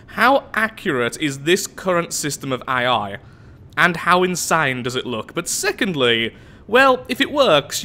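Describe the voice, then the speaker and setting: Lively man with a flu-worn voice. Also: on the high side. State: sick with the flu, losing his voice. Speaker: gaming channel host. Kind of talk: casual gameplay commentary.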